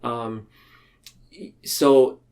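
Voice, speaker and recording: Man, medium pitch, reflective interview talk, earnest, halting, rambling phrasing. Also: level tone, darkish tone. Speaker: podcast guest, mental health counselor.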